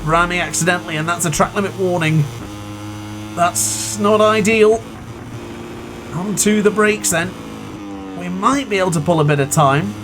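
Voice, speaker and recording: A man commentating his own racing game run in a chatty, excitable way, with a sore throat. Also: high-pitched, moderate pace, long pauses, noisy background. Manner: excitable, chatty, exclaims at crashes. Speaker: gaming commentator. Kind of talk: live gameplay commentary.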